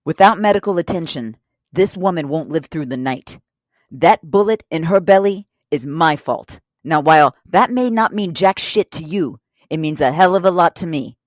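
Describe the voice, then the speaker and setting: A woman's voice: low voice, expressive, normal speed, dry recording, studio quality. A woman playing a loyal, hot-tempered robber wracked with guilt in an audio drama, speaking in a blunt, earnest, profane way. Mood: grave, insistent. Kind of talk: audio drama crime acting.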